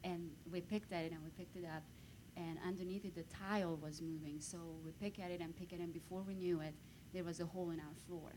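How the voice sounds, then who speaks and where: Woman guest speaker, Hungarian accent, quick lively storyteller, lowish voice, faint rumble behind. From Romania. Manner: lively storytelling, bursts of emphasis. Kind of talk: personal testimony on stage.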